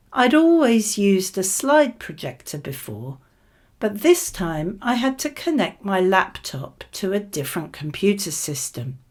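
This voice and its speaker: A woman reading model answers for an English speaking exam in a conversational, measured and clearly articulated way. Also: lowish voice.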